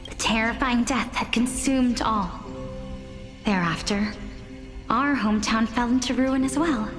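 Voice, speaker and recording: A woman's voice: fairly high pitch, unhurried, lightly acted. An actress playing a young woman scholar in a game, telling a tale and teasing her friend with giggles. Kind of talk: game voice acting. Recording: game dialogue over music.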